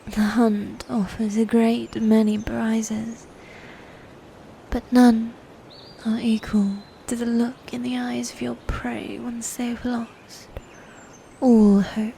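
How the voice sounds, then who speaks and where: A woman playing a sadistic, taunting medieval knight in a dark fantasy ASMR roleplay, mocking and formal with breathy whispers. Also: on the high side, flat delivery, a little hiss or hum. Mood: gloating. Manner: slow, mocking, formal old-fashioned speech with breathy whispers.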